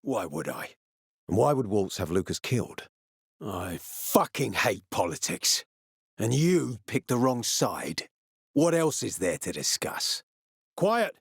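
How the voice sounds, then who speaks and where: Game role: gruff military commander, suspicious, curt and threatening, profane streak, recorded dry. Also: male voice, lowish voice, very animated, slow pace, no echo, full character voice. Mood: sardonic. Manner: terse, menacing, sardonic, profane. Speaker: video game voice actor. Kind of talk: video game character dialogue.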